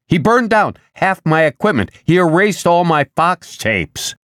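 An exasperated man in an audiobook, acted by a male narrator. He is urgent and indignant, insisting on being heard, and his pitch moves animatedly in a dry, close sound. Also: very animated, moderate pace, dry recording. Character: frazzled, exasperated man, a religious believer pleading urgently. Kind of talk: audiobook character dialogue.

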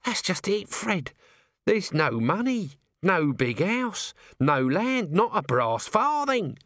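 A man's voice: high-pitched, big pitch swings, slow pace, a bit bright, dry recording, studio quality, voice pushed up, exaggerated voice. A man voicing a scruffy small male corporal who moans and whines. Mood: woeful. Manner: moaning, whining.

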